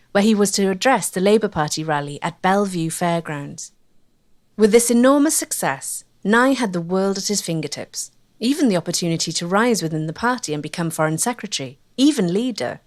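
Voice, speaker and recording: Low-pitched woman narrating. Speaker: documentary narrator. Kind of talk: scripted documentary narration.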